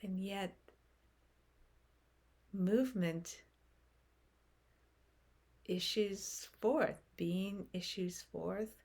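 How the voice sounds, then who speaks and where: A woman leading a guided meditation in a gentle, unhurried manner, speaking in short phrases with long pauses. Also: mid-range voice, breathy edge.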